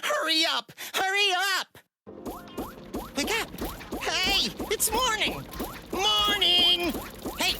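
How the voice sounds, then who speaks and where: A loud, nagging young game character, extremely high and sharply bright. The delivery is insistent and impatient, with a little music behind it. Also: female voice, expressive, takes it slowly, long pauses, full character voice. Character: loud, impatient, pestering young wake-up caller. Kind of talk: animated English video game voice acting.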